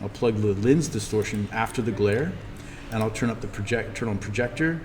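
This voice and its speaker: A man's voice: lowish voice, brisk. Man teaching in a reverberant, noisy room. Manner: informal, easygoing step-by-step explaining. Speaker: instructor teaching a 3D animation class. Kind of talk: casual live software tutorial, thinking aloud while demonstrating. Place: indoors, echoing classroom. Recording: reverberant room with background noise.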